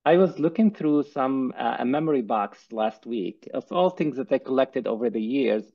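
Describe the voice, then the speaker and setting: A man's voice: mid-range voice, lively intonation, normal speed. A man whose first language is Arabic, a pharmacist speaking earnestly as an interview guest over a headset on a video call, often restarting and repeating words. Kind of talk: conversational interview. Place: office. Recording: dull lo-fi video call audio.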